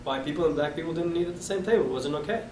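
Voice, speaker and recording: A man in his thirties with a South African accent, recalling his past with halting restarts in an oral history interview. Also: fairly high pitch, a little mellow. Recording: echoey room, distant mic, noisy.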